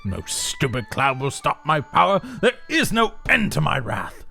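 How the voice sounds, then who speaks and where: A man's voice: mid-range voice, huge pitch swings, measured pace, creaky, bright, forceful tone, faint music behind, pitched above the performer's own voice, heavily acted. A man playing a simple, jealous stonecutter in a folk tale, theatrical and emphatic as he craves more. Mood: wrathful. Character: simple, jealous, ever-grasping male stonecutter wishing for power.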